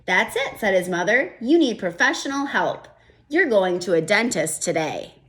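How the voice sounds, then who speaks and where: Woman, teacher reading aloud to children, lively rising and falling voice, gentle asides. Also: medium pitch, normal speed. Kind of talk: children's read-aloud with comments.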